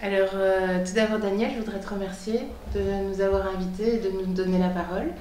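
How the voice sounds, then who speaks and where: A woman's voice: mid-range voice, flat delivery, a little fast, a little mellow, reverberant, slight background noise. A woman, a political candidate, speaking earnestly and with commitment, with frequent fillers. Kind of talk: spontaneous speech. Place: television studio in Brussels.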